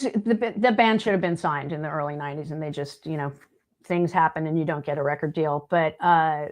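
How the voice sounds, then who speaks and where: A woman's voice: mid-range voice, darkish tone. A woman in her late fifties chatting casually and telling anecdotes, acting out what other people said. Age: late 50s. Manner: chatty, anecdotal, acts out quoted dialogue. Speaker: guest, singer and video editor. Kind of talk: casual chat, storytelling.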